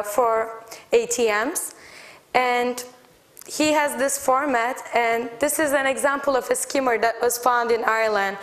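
A young woman whose first language is Turkish, giving a technical conference talk from a podium and explaining slides step by step. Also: on the high side. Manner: explanatory, steady, walking through slides. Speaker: conference speaker. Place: large lecture hall, standing at a podium.

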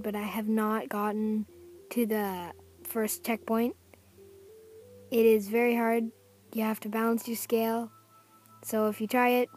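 A preteen child hosting a gaming video in a casual countdown style, slightly raspy and hoarse from being sick, with halting delivery and drawn-out emphasis. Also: mid-range voice. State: getting over a sickness. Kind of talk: scripted-sounding countdown narration and casual vlog intro.

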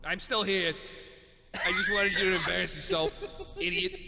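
Brief: male actor as whiny comic villain, very high voice, swooping pleas, improvised game fandub. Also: sing-song, normal speed, creaky, exaggerated voice. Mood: mocking, annoyed. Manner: rambling, exclaiming, pleading. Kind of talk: improvised comedy dub. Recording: game music under the voice.

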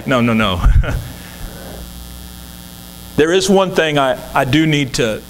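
A man's voice: a little high, lively intonation, slow pace, low-quality recording. Older guy preaching at the pulpit, earnest and chatty, bit of mains hum on the mic. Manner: earnest, conversational, with gestures. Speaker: guest preacher. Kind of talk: sermon. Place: church sanctuary, at the pulpit.

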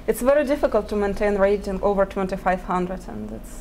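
A woman's voice: mid-range voice, vocal fry, a little mellow, a little hiss or hum, low-quality recording. A woman in her thirties, a native Russian speaker who grew up in Ukraine, answering interview questions as a chess player in hesitant English. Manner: hesitant, halting, laughs often between phrases. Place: indoor press area before a sponsor backdrop. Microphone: desk microphone.

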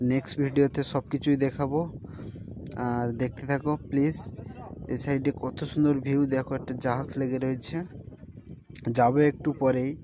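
Young male travel vlogger, mid pitch, little variation, recorded on a phone with clear outdoor noise. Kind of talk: casual travel vlog narration to viewers. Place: outdoors at a seaside tourist spot. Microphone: handheld phone.

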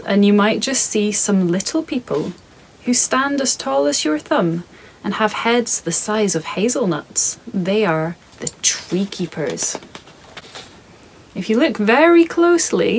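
A woman in her thirties reading her picture book aloud to children in a soft, calm, measured way, recorded in her home studio. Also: fairly high pitch, a little hiss or hum, rough recording.

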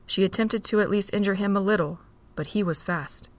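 A woman narrating a fan audio drama with steady, measured reading. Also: mid-range voice.

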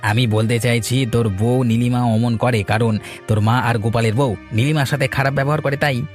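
A man voices a crafty, greedy village uncle from a cartoon. He talks in a mid-pitched voice, coaxing and insinuating, with little hesitations and nudging questions. Faint music plays behind him. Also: steady tone, normal speed, lightly acted. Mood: sly, insinuating. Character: scheming, greedy village uncle who sows quarrels. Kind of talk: cartoon voice acting.

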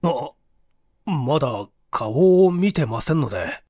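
Male reader as terrified witness in a detective story. High, stammering, breathless, wildly swinging pitch. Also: big pitch swings, slow pace, warm tone, no echo, clean studio sound, full character voice. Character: frightened, flustered man who found a corpse. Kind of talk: dramatized mystery audiobook reading.

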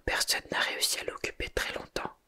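A reader whispering the lines of a gruff, grumbling male half-giant groundskeeper in a storybook. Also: brisk, pushed and bright. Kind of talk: ASMR whispered storybook reading.

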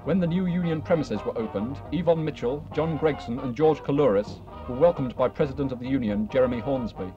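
A man's voice: mid-range voice, a little fast, few pauses. A British man reading scripted narration for a newsreel. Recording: dull old newsreel film soundtrack. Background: loud music.

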